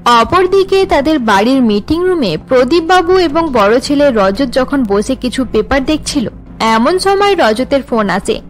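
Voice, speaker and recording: A woman's voice: high voice, sing-song, measured pace, faint music behind. A woman narrator reading scripted story narration for a cartoon drama.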